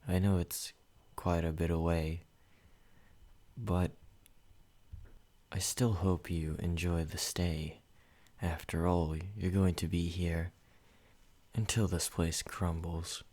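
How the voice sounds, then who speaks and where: A man playing a possessive vampire in an ASMR roleplay. He speaks low and slow, in a measured, even tone aimed straight at the listener. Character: calm possessive male vampire host.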